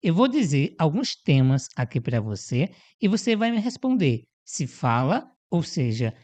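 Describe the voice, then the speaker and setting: A man hosting a podcast interview who speaks expressively and stretches phrases with dramatic pauses. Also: on the high side, very animated, a little mellow. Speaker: podcast host.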